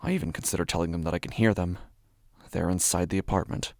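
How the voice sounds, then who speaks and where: A man playing an anxious, obsessive apartment dweller in a horror audio drama monologue, with a gravelly, often breathy voice and intense, deliberate delivery. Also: steady tone, darkish tone, no echo, clean studio sound. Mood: tense, brooding. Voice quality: low, gravelly, often breathy, dropping to whispers. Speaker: horror fiction narrator.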